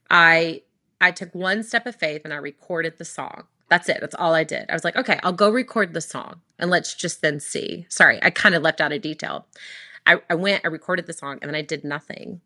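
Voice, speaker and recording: Young woman singer chatting in an interview, lowish voice but really bright, quick and animated, keeps restarting. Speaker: worship singer and recording artist. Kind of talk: interview storytelling.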